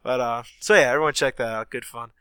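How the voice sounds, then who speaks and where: A man's voice: mid-range voice, fast, pushed and bright, smooth, no room sound, studio quality. A man co-hosting a gaming podcast, reading news in a chatty way with many fillers, sounding stuffy from a sinus infection. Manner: chatty, many ums and uhs. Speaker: podcast co-host. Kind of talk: casual chat, reading news.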